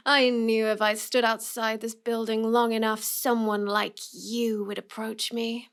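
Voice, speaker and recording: A woman's voice: a little high, level tone, fairly bright tone. A woman playing a cute, smug young villainess who teases and manipulates the listener in a scripted roleplay audio. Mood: smug, gloating. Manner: slow, smug, taunting delivery.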